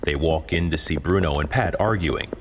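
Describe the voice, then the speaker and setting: A man's voice: very deep voice, moderate pace, a little hiss or hum. A man delivering scripted story narration.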